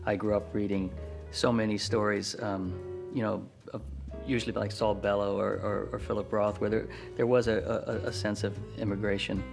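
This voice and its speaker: A man speaking as a novelist in a reflective interview, thoughtful and halting as he picks his words. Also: on the low side, flat delivery, creaky, music in the background.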